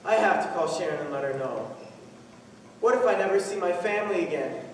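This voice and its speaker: A man's voice: high-pitched, lively intonation, fairly quick. A man on stage playing a worried, loving father stranded abroad. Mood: anguished. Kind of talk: stage drama dialogue. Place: on stage in a large hall. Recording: echoey hall from far off, faint noise.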